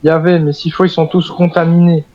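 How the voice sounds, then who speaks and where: A man with a moderately high voice chats casually in character during a role-play game. The sound is a little dull, like lo-fi voice chat. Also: level tone, fast, warm tone, no echo.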